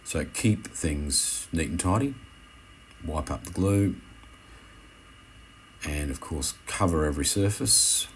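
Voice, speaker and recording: Male instructor, extremely deep register, unscripted tutorial voice-over with hesitant phrasing, some noise behind the voice. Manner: hesitant, halting, self-correcting. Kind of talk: unscripted how-to voice-over commentary.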